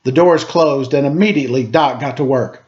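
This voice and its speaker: A man reading a children's story aloud in an expressive, dramatic storytelling manner. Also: medium pitch, moderate pace, highs cut off. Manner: dramatic read-aloud, acting out sound effects and dialogue.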